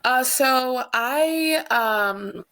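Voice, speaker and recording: A woman's voice: high-pitched, extremely slow. A woman from Cameroon, a singer-songwriter, speaking casually and hesitantly as a panel guest on a video call. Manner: relaxed, hesitant with many ums.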